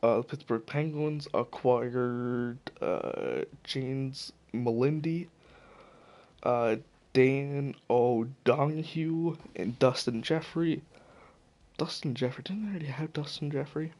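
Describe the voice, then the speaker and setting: Gravelly man with a fading voice. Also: medium pitch, slow pace, a little mellow. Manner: profane, offhand, stumbles over names. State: losing his voice. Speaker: hockey video commentator. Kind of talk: casual solo commentary.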